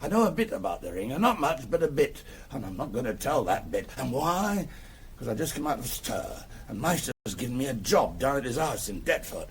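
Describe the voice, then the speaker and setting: A Cockney small-time crook turned valet in a radio play. His mid-pitched voice bobs up and down as he dodges with cheek and mock politeness. There is faint noise and ambience behind him. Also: big pitch swings, brisk. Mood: nervous, evasive. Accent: London Cockney. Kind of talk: radio drama acting.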